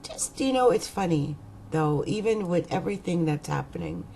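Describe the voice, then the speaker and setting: Woman, croaky, low mellow voice, slow rambling talk, faint room hum. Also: animated, rough recording. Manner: rambling, hesitant, many fillers. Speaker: astrologer. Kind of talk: casual rambling vlog.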